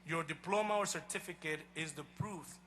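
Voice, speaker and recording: Bright-voiced man with a Honduran Spanish accent. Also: on the high side, a little hiss or hum. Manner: measured, emphatic address to a crowd. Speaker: college president. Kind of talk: commencement speech. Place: outdoor stadium.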